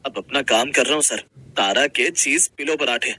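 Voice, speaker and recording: A man playing a simple, humble working husband, gentle, earnest and a little hesitant, in a Hindi moral-story cartoon. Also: medium pitch, moderate pace, husky. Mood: pleased, gentle.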